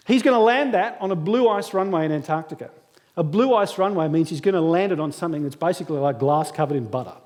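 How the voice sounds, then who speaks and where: A man's voice: high voice, a little mellow. A man in his thirties giving a funny, self-deprecating motivational speech at a graduation ceremony. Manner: humorous self-deprecating storytelling. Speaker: guest speaker. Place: large hall at a graduation ceremony. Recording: echoey hall reverb.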